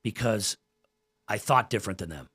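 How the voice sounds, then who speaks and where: A man's voice: lowish voice, normal speed, fairly bright tone, slightly rough. An American man in his forties chatting casually as a podcast guest, earnest and emphatic, often repeating his phrases. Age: 40s. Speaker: podcast guest, gym owner and coach.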